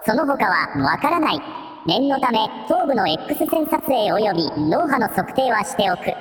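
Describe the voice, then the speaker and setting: A woman's voice: fairly high pitch, very animated, normal speed. A woman voicing a dutiful, analytical robot assistant who speaks in a matter-of-fact way in a radio drama. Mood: clinical. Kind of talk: Japanese radio drama acting. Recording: echoey long reverb, music behind.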